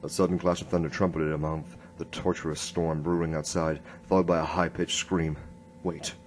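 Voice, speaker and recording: An actor playing an earnest, halting noir police inspector who narrates his case in a radio drama. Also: a bass voice, little pitch movement, soft background music. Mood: brooding, tense. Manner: hard-boiled first-person storytelling, halting.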